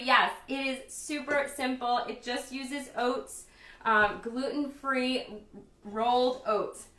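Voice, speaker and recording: A woman presents a casual live cooking demo in a chatty, upbeat way. Her voice is bright and a little hoarse. She sounds some distance from the microphone, in a room with noticeable echo. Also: high-pitched. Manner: chatty, upbeat, addressing viewers directly. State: slightly hoarse. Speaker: cooking show host and chef.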